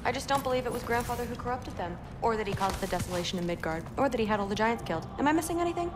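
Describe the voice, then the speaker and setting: A woman playing a bold, confident teenage warrior girl in a video game, brash and challenging, with loud music behind her. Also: fairly high pitch, lively intonation, racing, noisy background, close to an everyday voice. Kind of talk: video game character acting.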